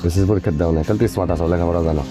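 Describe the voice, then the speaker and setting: A man's voice: low voice, steady tone, racing. A man questioning people informally on the spot, probing and lecturing as he goes. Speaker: questioner interrogating a couple. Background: clear music.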